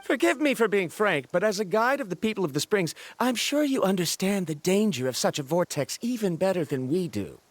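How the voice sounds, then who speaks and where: High-pitched, pedantic scholar. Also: male voice, animated, fairly quick, hardly any pauses, dry recording. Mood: skeptical. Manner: pedantic, precise, formal. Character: stubborn, formal, logical male scholar. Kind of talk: video game voice acting.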